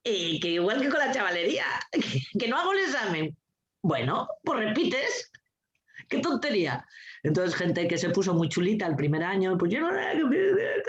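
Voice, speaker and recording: A woman giving a lively, anecdotal talk as a guest speaker, blunt and sarcastic, swearing freely and laughing often. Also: mid-range voice, moderate pace. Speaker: guest speaker, teacher trainer. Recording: dull lo-fi recording.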